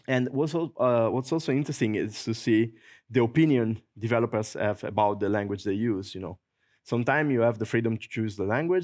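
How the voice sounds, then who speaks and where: A man's voice: lowish voice, darkish tone. Italian-accented man joking through a tech talk. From Italy. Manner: joking, chuckles at own jokes, many filler uhs. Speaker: conference presenter. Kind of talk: technical conference talk. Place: conference hall.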